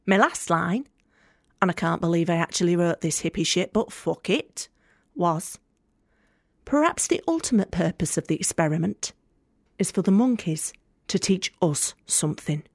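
An actress playing a young student, guarded, sardonic and candid, in an intimate monologue for an audio play. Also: female voice, on the low side, lively intonation, moderate pace, darkish tone, no room sound, studio quality. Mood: defiant, hopeful. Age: young adult. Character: guarded, sharp-tongued young female student, hurt but hopeful.

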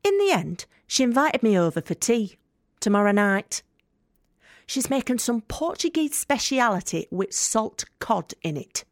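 An actress playing a blunt, working-class Northern English debt collector in an audio drama monologue, deadpan and sardonic as she confides in the listener. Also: fairly high pitch, a little slow, no echo, clean studio sound. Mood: dry, guarded. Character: blunt, wry young working-class female debt collector with ailing mother.

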